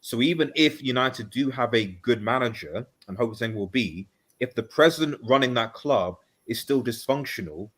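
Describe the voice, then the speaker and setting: A man's voice: medium pitch, expressive, normal speed, hardly any pauses, a bit bright. A man on a casual football panel, explaining his points in a polite, conversational way. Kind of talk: casual sports discussion.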